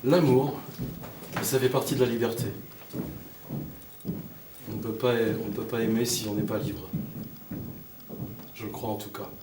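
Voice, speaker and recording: A man's voice: medium pitch, steady tone, unhurried, long pauses. A man, a film artistic director, speaking reflectively and hesitantly about his beliefs. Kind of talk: personal testimony. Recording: roomy distant mic with noise.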